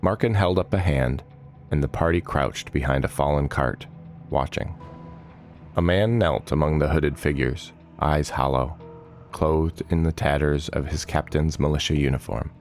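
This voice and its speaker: A man narrating a scripted fantasy audiobook as storyteller, measured and dramatic in his delivery. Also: extremely low pitch, faint music behind.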